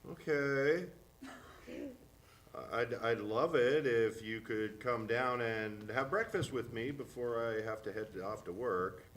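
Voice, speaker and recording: A man playing an affectionate, awkwardly concerned father with a halting manner, in an acted script reading. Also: mid-range voice, fairly bright tone. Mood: gentle, wistful. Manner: hesitant, halting.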